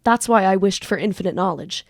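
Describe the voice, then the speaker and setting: A woman's voice: medium pitch, a little fast, dry recording, studio quality, close to an everyday voice. A woman acting an anxious, defensive young student magician in an amateur audio drama, earnest and self-pitying. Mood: cynical.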